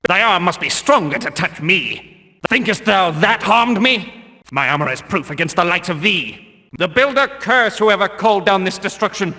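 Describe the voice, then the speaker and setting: Zealous temple guard, echoing hall. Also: male voice, sits high, lively intonation, moderate pace, pushed and bright, full character voice. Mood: battle fervor. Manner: archaic, solemn, pious speech. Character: zealous male temple guard of a stern religious order. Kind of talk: video game guard barks. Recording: long cavernous reverb.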